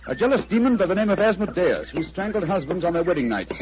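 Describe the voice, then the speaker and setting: A keen, self-assured British gentleman detective in a vintage radio drama. He talks fast and precisely with very animated pitch, commanding and sure, through a dulled old recording. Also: highs cut off, noisy background. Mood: eager. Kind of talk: 1940s radio acting.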